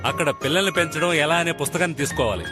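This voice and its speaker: A man's voice: fairly high pitch, lively intonation, very fast, lightly acted. A man voicing a fussy, well-meaning middle-aged dad in a cartoon dub, flustered and exclaiming. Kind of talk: Telugu cartoon dub acting. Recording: loud music behind the voice.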